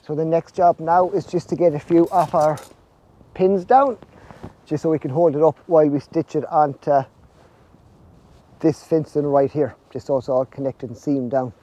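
A man's voice: on the high side, fairly even intonation, normal speed, dark tone, muffled recording. A man in his forties with an Irish accent, casually explaining farm jobs outdoors in a vlog. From Ireland. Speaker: snail farmer presenting his farm vlog. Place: outdoors under netting on a farm.

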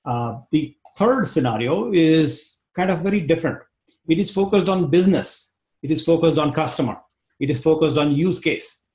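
A man in his forties with an Indian accent, teaching an online class over a video call, talking quickly and restarting phrases. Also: on the high side, animated, a little mellow. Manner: fast-talking, repeats words, restarts phrases. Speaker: instructor. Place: home room, indoors. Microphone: webcam on video call.

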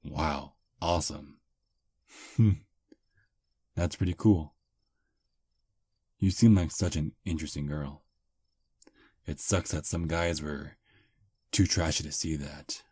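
A man voicing a friendly 215-year-old male vampire on a first date in an ASMR roleplay, soft-spoken and slightly halting. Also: extremely low pitch, fairly even intonation, husky, no echo, exaggerated voice. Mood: easygoing.

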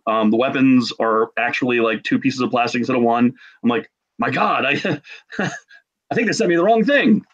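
A man's voice: medium pitch, moderate pace. A man in his forties telling casual stories in a chatty, jokey way over a video call. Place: home room. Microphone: computer mic on a video call. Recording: dull video-call audio.

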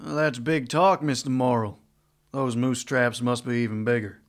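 A man playing a gruff, weary marshal. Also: medium pitch, fairly even intonation, normal speed, natural voice. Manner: gruff, blunt.

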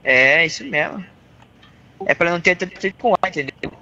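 A man's voice: fairly high pitch, fairly quick, pushed and bright, lo-fi sound. A man in a game roleplay playing a mechanic, drawling and calling everyone rapaz. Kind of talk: in-character roleplay.

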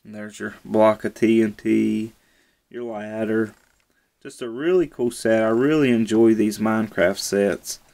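Easygoing low-voiced man reviewing toys. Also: fairly even intonation, measured pace, vocal fry, darkish tone. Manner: easygoing, repeats "really cool". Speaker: toy reviewer. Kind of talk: casual product review. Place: indoors at a table.

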